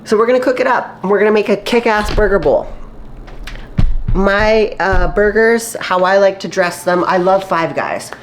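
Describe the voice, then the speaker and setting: A woman's voice: medium pitch, moderate pace, a little hiss or hum. A woman fitness vlogger talking casually while she cooks, sounding a little stuffy and nasal after a cold, upbeat and full of fillers. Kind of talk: casual chatty vlog while cooking. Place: home kitchen. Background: faint sizzling of frying food.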